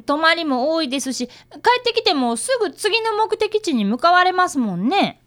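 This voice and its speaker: A woman's voice: very high-pitched, big pitch swings, moderate pace, a bit bright, exaggerated voice. A woman playing a polite, earnest fifth-grade boy who is an apprentice detective and narrates a radio drama.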